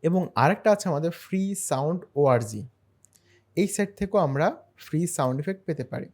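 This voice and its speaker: A man narrating a screen-share software tutorial in a step-by-step, explanatory way. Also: fairly high pitch, expressive, fairly quick. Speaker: tutorial host.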